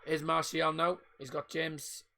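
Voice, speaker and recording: A man, voice croaky from a sore throat, giving casual live football commentary and rambling chat on his own stream. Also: fairly high pitch, level tone, a little slow, noisy background. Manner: casual, rambling, mixes up names.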